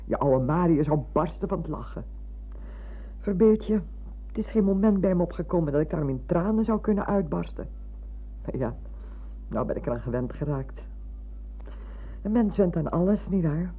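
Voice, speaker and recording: An actress playing a chatty, sentimental old retired schoolmistress in a radio play, halting and repeating herself. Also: female voice, fairly low pitch, lively intonation, slightly rough. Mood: wistful, chatty. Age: older. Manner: garrulous, halting with repetitions. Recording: muffled, lo-fi old radio recording.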